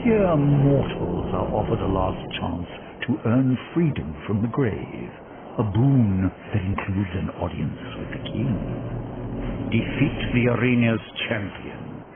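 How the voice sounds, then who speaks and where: A pompous, drawling undead chancellor. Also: male voice, fairly low pitch, animated, slow, creaky, narrow, tinny audio, heavy background noise, heavily acted. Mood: haughty. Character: haughty, sly undead chancellor of a bone king. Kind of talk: video game cutscene acting.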